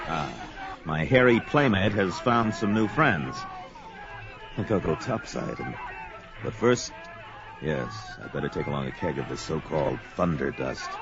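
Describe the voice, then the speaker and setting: A man's voice: low-pitched, heavily acted. An actor playing a bold, grim barbarian warrior who narrates his own actions melodramatically in an audio adventure drama. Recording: dull lo-fi audio drama under music.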